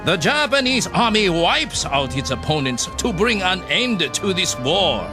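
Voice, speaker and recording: Ruthless army captain, wildly swooping delivery. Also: male voice, high-pitched, sing-song. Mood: cold, lecturing. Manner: commanding, cold, menacing, deliberate emphatic delivery. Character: ruthless, contemptuous Japanese army captain, a man. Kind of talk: dramatic radio drama acting. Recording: music under the voice.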